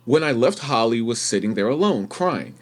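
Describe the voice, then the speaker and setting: A man's voice: medium pitch, lively intonation, normal speed, lightly acted. An actor playing an earnest, anxious young man in a radio play, hesitant and easily flustered. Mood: anguished, confused. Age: young adult.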